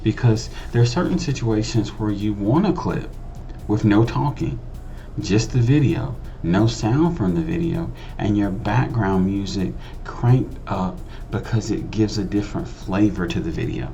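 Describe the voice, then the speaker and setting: A man's voice: lowish voice, unhurried, creaky, warm tone, muffled recording, a little hiss or hum. A man giving a casual tutorial voice-over in a conversational, explanatory way, repeating phrases for emphasis. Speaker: host of a video editing tutorial channel.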